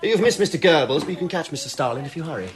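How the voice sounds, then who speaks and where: An actor with an English accent playing a pompous, sardonic, snobbish wartime art curator in a period audio drama. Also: male voice, on the high side, expressive, very fast, close to an everyday voice. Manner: haughty, sneering, theatrical.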